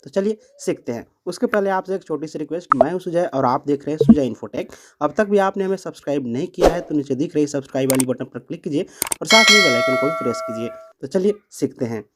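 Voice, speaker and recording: A man's voice: on the high side, lively intonation, fast, few pauses, heavy background noise. A man hosting a step-by-step app tutorial, explaining instructively to viewers. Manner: instructional, addressing viewers as friends. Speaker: tutorial host.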